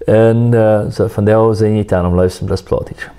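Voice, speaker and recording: A man, a musician, telling his life story in a soft-spoken, reflective and faith-centered way. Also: on the low side, steady tone, normal speed, clean tone. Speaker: musician guest.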